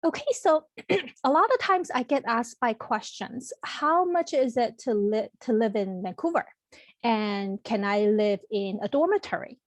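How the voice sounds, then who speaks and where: A woman's voice: a little high, lively intonation, measured pace, no room sound. A woman from Taiwan giving an online information presentation to prospective students, speaking in a friendly, explanatory way with frequent ums and restarts. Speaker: college representative presenting to students. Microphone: computer mic on a video call.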